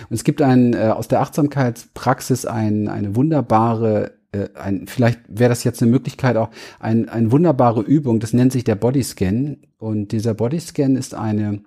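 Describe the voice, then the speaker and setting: Husky, earnest German-speaking man. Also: mid-range voice, normal speed, few pauses, warm tone. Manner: earnest, searching, restarts phrases. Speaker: coach and interview guest. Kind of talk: reflective interview talk.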